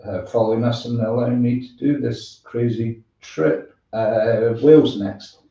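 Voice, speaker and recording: A man hosting a music show live, chatting casually in a rambling, halting way. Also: mid-range voice, steady tone, vocal fry, dark tone. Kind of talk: casual live sign-off chat. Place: room with echo, away from the mic. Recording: roomy, distant, dull-sounding microphone.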